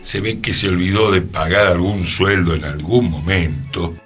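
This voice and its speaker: A man's voice: deep voice, steady tone, normal speed, fairly bright tone, husky. A man with a Rioplatense Argentine accent hosting a conversational radio history column, explaining didactically with wry asides. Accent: Rioplatense Argentine Spanish. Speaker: radio show host and columnist.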